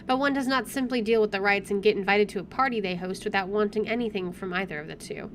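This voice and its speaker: A woman's voice: mid-range voice, fast, a little hiss or hum. A woman reading visual novel narration aloud with wry, dramatic expression over game music. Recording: music playing behind the voice.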